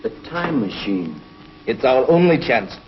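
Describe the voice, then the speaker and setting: An actor playing a resolute space hero in a 1950s TV serial, decisive and emphatic. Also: male voice, mid-range voice, very animated, unhurried. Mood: urgent resolve. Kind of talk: 1950s TV science fiction acting. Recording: muffled, hissy 1950s TV soundtrack.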